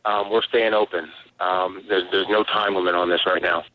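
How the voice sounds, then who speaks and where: A man's voice: low-pitched, fairly even intonation, moderate pace, dry recording. Man on a tinny phone line. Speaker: relief agency spokesman. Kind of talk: live phone interview. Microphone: telephone.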